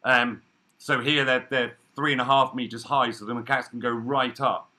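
A man in his thirties giving a relaxed, chatty workshop talk over a video call from an office room, with frequent ums. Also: mid-range voice, normal speed. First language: English. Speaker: workshop speaker. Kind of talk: casual presentation. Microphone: laptop webcam video call.

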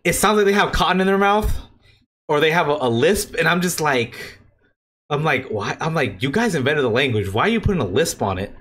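Animated man reacting with mocking asides. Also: on the high side, fairly quick. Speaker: streamer reacting to a video. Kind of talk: casual reaction commentary.

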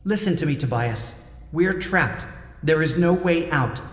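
A man's voice: on the high side, animated, unhurried, voice pushed up. A man voicing a brave, resolute teenage girl in an audiobook, earnest and pleading, with an echoing telepathic effect. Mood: grave pleading. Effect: echoing telepathic-voice reverb. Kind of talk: dramatised audiobook dialogue. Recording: echoing long artificial reverb.

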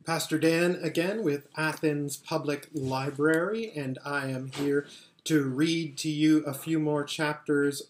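A middle-aged man reading a storybook aloud in a measured, expressive way. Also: fairly high pitch, slight background noise. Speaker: pastor reading books aloud. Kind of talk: storybook read-aloud narration. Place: furnished living room indoors. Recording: roomy home webcam sound.

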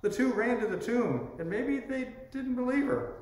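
An older man in his sixties, a priest reading a sermon slowly and deliberately from the pulpit, his voice rising and falling. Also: very high-pitched. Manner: slow, deliberate delivery with lively rises and falls. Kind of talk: sermon read from a script. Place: church sanctuary by the organ pipes. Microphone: pulpit microphone on a stand. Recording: echoey church from a distant camera.

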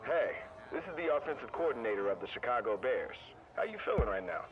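A man's voice: medium pitch, animated, fast, muffled recording, natural voice. A man acting a friendly, authoritative football coach in a video game, direct and fatherly, sounding distant as over a phone. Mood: approving.

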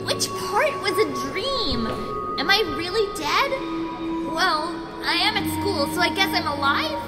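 Snarky anime schoolgirl vlogger, played by a woman, very high, animated delivery, loud music underneath. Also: unhurried, heavily acted. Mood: confused. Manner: snarky, dramatic. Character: cynical, excitable teenage schoolgirl who vlogs. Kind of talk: anime parody dub acting.

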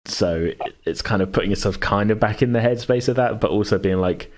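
Brit guy in his 30s, low voice, game master chatting casually, goes round the houses a bit. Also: fairly quick. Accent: British. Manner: rambling, hedging, self-interrupting. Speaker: podcast host and game master. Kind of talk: casual chat.

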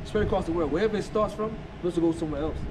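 A middle-aged American man chatting casually and emphatically, repeating himself for effect, recorded outdoors on a rooftop terrace with a camera mic. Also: high voice. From United States. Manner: fast, emphatic, repetitive, animated. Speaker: guest vlogger. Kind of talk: casual chat. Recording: outdoor city noise behind.

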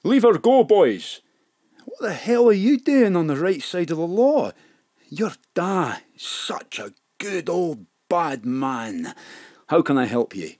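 An actor in an audio drama playing a wary, cocky safecracker gangster with rough, slangy talk. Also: medium pitch, very animated, slow, a little mellow, no echo, clean studio sound, full character voice. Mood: surprised, warm.

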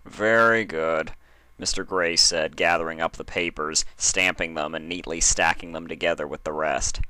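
A man narrating a story as an expressive fiction reader. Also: very deep voice, normal speed, no room sound.

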